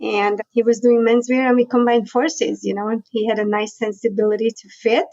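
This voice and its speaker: A woman's voice: a little high, little pitch movement, normal speed, dark tone, no room sound, dull audio. A middle-aged woman from Hungary with a Hungarian accent, chatting as a guest in an interview and telling her story with many asides. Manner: storytelling, chatty, frequent "you know" and laughs. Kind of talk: conversational interview.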